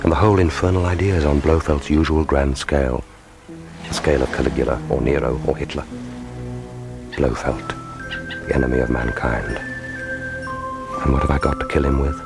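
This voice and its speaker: An actor playing a cool, wry, brooding secret agent with a dry, understated manner in a radio drama. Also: male voice, a bass voice, steady tone, moderate pace, pauses often, over music. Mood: grim, brooding. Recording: loud orchestral film score behind voice.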